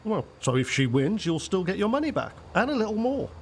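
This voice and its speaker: An actor playing an easygoing, level-headed, wry friend in a radio soap. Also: male voice, high voice, very animated, brisk, slight background noise. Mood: pleased. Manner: reasoning, wry.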